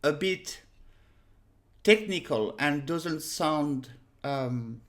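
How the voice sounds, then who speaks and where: Slow, French-accented woman teaching. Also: fairly low pitch. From France. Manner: unhurried, pauses with many fillers, direct. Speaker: harmonica teacher. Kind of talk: casual spoken lesson to camera. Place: small room at home, indoors.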